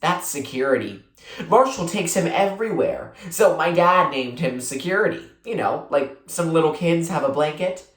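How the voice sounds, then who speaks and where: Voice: mid-range voice, animated, moderate pace, natural voice. A storybook reader voicing a friendly, curious young girl who is a little shy. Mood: bright, explaining. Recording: roomy echo.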